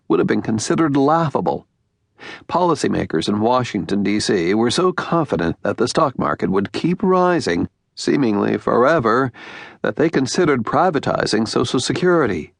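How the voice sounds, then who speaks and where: Gravelly American male audiobook narrator. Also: medium pitch, no echo, clean studio sound.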